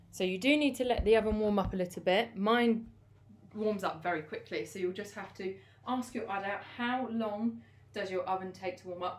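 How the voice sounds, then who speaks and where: A young woman teacher in her home kitchen, gently explaining each cooking step to children as she works. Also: mid-range voice, slight background noise.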